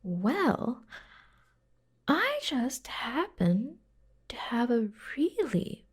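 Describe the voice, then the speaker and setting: A devoted, playful secretary acted by a woman fusses over the boss in an ASMR roleplay. The voice is soft and breathy, unhurried and intimate, often slipping into a whisper. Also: on the high side, sing-song, heavily acted. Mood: teasing, coaxing. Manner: slow, gentle, playful, intimate. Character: caring, teasing, devoted young female secretary fussing over her boss.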